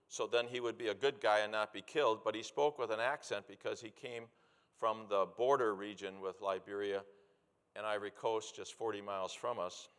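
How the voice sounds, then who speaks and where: A middle-aged or older man preaching a sermon with personal storytelling, speaking in a deliberate, earnest way and repeating key phrases for emphasis. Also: fairly low pitch, fairly bright tone. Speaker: preacher, former missionary. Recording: long hall reverb.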